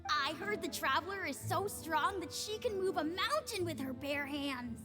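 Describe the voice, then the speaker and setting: A woman's voice: very high-pitched, expressive, normal speed. A woman voicing an eager, admiring and slightly mischievous young child in a video game. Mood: awed, excited. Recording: game music behind the voice.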